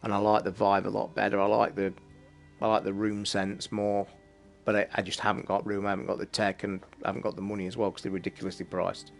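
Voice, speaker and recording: A man hosting a casual late-night livestream from his flat, talking in a rambling, blunt way and swearing freely. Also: fairly low pitch, quick pace, gravelly, faint music behind. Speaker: livestream host. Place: home flat.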